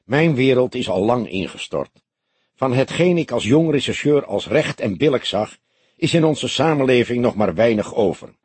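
A male reader acts a grey-haired police detective in an audiobook, old and weary. His husky voice is grave and stern, with tenderness underneath, recorded very dry. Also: mid-range voice, animated, moderate pace, dry recording. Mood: weary, tender. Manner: grave, compelling, gently disillusioned.

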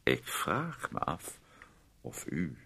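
A man's voice: rumbling low, wildly swooping pitch, slow, vocal fry, pushed and bright, breathy edge, exaggerated voice. An actor playing a fussy, manipulative man who poses as a caring guardian in a radio play, talking in a wordy, insinuating, condescending way with tag questions. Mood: smooth, confiding.

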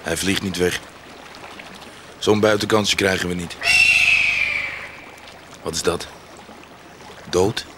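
An actor playing a gruff, stubborn regimental officer who protects his men, in terse radio drama dialogue. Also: deep voice, fairly even intonation, slow pace, pauses often, noisy background. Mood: wary.

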